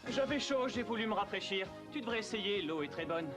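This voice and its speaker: Young cartoon outlaw hero, high male voice, confident, carefree, spirited, prominent music underneath. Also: expressive, a little fast. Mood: playful. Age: young adult. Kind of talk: animated film dubbing. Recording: loud music behind the voice.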